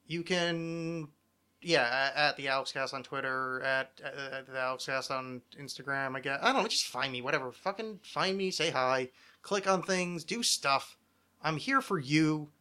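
Sharp-voiced, sarcastic male podcast host. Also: medium pitch, normal speed, smooth. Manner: sarcastic, rambling, foul-mouthed. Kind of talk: casual comedic podcast banter.

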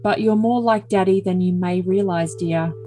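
A woman's voice: fairly low pitch, moderate pace, mellow, soft background music, close to an everyday voice. A woman voicing a doting, controlling, self-important boyfriend in an overly dramatic reading of messages. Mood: commanding.

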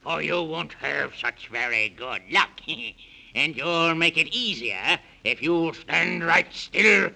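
Blustering dragon villain, 1930s radio style: forceful, slow, theatrical rhymes. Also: male voice, fairly high pitch, expressive, vocal fry, exaggerated voice. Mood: gloating menace. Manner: theatrical, rhyming, drawn-out delivery. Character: boastful menacing thirteen-tailed dragon acting as policeman. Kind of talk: 1930s children's radio serial acting. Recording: dull vintage 1930s radio recording.

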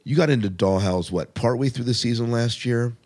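A man's voice: mid-range voice, brisk, a little mellow. A man hosting a podcast, chatting casually about television in an informal, rambling, opinionated way. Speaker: podcast host. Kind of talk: casual TV review chat.

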